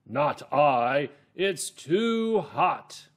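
A man voicing an overheated, unwilling storybook dog, complaining loudly with drawn-out words. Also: on the high side, sing-song, pitched above the performer's own voice, full character voice. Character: hot, unwilling storybook dog making excuses.

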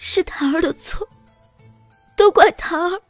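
Woman doing playful scheming young princess, really high and dry, theatrical costume romance audiobook. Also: unhurried, dry recording, soft background music. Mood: meek, tearful. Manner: theatrical, switching between meek and gleeful. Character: playful young princess consort, sly, faking meek sobs.

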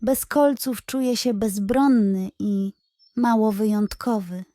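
A woman's voice: mid-range voice, fairly even intonation, slow, mellow, dry recording, clean studio sound, close to an everyday voice. A woman voicing a worried, sad little boy hedgehog, plaintive and earnest, in a children's story. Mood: hurt.

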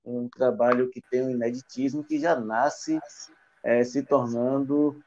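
A man's voice: medium pitch, level tone, measured pace, darkish tone. A middle-aged man hosting an online academic debate on a headset mic, speaking with frequent fillers and restarts. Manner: hesitant, many fillers and restarts. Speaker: event host and philosophy department coordinator. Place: small room indoors. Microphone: earphone headset on video call. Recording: dull lo-fi video call audio.

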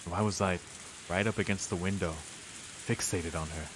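A young man with a low, deep voice reads visual novel narration aloud as a let's player, with casual reactions. Rain and hiss are clearly heard behind him. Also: fairly even intonation, moderate pace.

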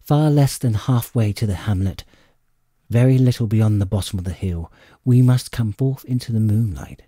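A man narrating an adventure audiobook in an expressive, measured storytelling manner. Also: fairly low pitch, breathy edge, dry recording, studio quality. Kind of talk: dramatic scripted storybook narration.

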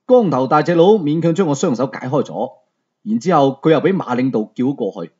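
Male audiobook narrator, Hong Kong Cantonese accent: mellow tone, animated delivery, conversational first-person storytelling. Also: mid-range voice, a little fast, husky, lo-fi sound.

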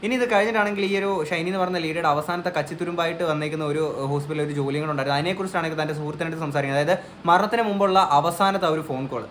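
A man's voice: fairly high pitch, rapid-fire, background noise. A man giving earnest, emphatic opinion commentary as a vlogger on a news case.

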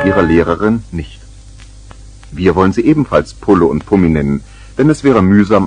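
A man narrating a children's radio play from the 1970s in a scripted storytelling style. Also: low voice.

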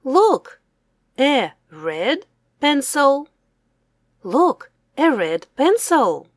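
A young woman teacher reading aloud a simple language lesson for children, saying words deliberately and separately, with exclaimed prompts. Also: sits high, sing-song, dry recording, studio quality. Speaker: English teacher.

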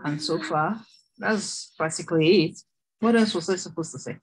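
A doctoral student introducing herself in an online class, chatty and laughing, with restarts. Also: female voice, lowish voice, normal speed, slight background noise, rough recording. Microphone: video call.

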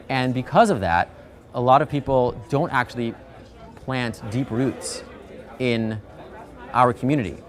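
A man in his thirties, a rabbi from the US East Coast, speaking in an earnest, reflective, conversational way in a documentary interview. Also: medium pitch. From United States, East Coast. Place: outdoors on a shaded porch. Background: soft music.

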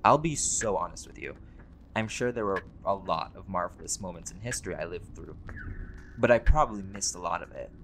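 A man's voice: low-pitched, animated, fairly quick, background noise. A man playing a laid-back, vain, centuries-old vampire in a conversational roleplay audio drama, with casual, sweary, bantering delivery. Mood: reflective, candid.

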